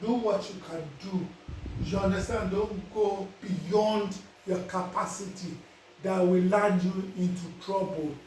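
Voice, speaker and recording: A man's voice: high-pitched, steady tone, unhurried, darkish tone, far from the mic, slight background noise. Nigerian man in a very echoey space. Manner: preachy, repeats "you understand?". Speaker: vlogger giving life advice. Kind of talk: casual advice monologue. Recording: very echoey, voice away from the mic.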